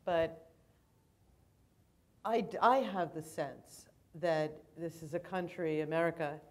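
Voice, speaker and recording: A woman moderating a panel discussion, deliberate and polite. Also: deep voice, darkish tone.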